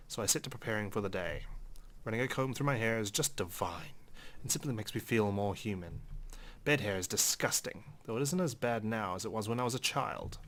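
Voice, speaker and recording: A man's voice: fairly low pitch, fairly bright tone. A man hosting a game playthrough, reading a visual novel's first-person narration in a dry, theatrical way. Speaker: let's play host.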